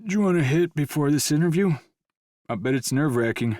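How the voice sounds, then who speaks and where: An actor playing a laid-back stoner accountant, chatty, slangy and jokey, in a full-cast audiobook. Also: male voice, on the high side, expressive, brisk, darkish tone, no echo, clean studio sound, close to an everyday voice. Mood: offering.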